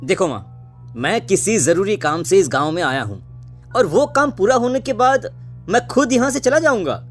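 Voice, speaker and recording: A man playing a carefree, headstrong eighteen-year-old son in an animated story drama. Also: sits high, normal speed, soft background music. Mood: insistent. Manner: carefree, insistent.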